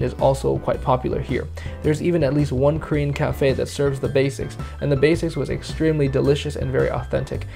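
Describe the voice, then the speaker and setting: A gravelly, creaky man's voice narrating a travel vlog in an easygoing, curious way. He talks casually with people he meets, and soft music plays underneath. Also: medium pitch. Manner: curious, easygoing, laughs often. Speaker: travel vlogger.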